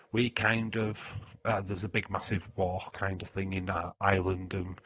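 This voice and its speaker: Man, yorkshire accent, lowish voice, rambling livestream chat, laughs a lot, dull lo-fi mic. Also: no echo. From England. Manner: rambling, laughs often, many filler sounds. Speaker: livestream host. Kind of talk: casual livestream chat answering viewers.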